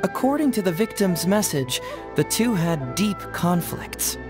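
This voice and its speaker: A man's voice: sits high, very animated, measured pace. Young male game detective: clever, self-assured, playfully sly explainer, with music behind. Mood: explaining, sly. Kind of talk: video game voice acting.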